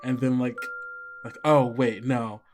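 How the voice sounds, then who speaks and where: A game master improvising horror narration for a tabletop game in a halting, conversational way. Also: mid-range voice, fairly even intonation, over music. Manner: halting, conversational, frequent 'like' and 'you know'.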